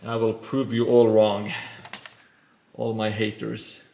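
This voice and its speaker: A man's voice: fairly low pitch, completely flat delivery, creaky, mellow, a little hiss or hum. A man with a Norwegian accent chatting casually on a live stream while he builds, rambling and poking fun at himself. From Norway. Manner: rambling and self-mocking, with frequent pauses.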